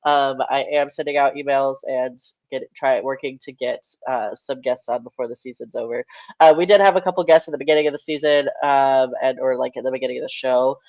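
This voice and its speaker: A man's voice: medium pitch, fairly even intonation, fairly quick, darkish tone, no room sound. A young man with a stuffed-up, nasal voice hosts a casual podcast, reading out stats and updates with plenty of ums and restarts. The sound is dry and a little dull, like a modest home mic. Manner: rambling, self-correcting with fillers. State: congested, nasal. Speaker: podcast host.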